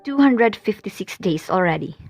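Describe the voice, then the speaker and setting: A woman's voice: medium pitch, expressive, moderate pace, faint music behind, close to an everyday voice. A woman playing a chatty, sleepless girl. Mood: friendly. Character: sleepless, friendly girl photographer.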